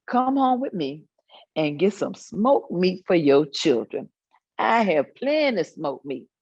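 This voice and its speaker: Muffled, low-voiced elderly woman played by an actress reminiscing. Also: big pitch swings, unhurried, a little mellow, husky, dry recording, heavily acted. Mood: folksy, amused. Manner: folksy storytelling with hummed asides and chuckles. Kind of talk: staged reading of oral history recollections.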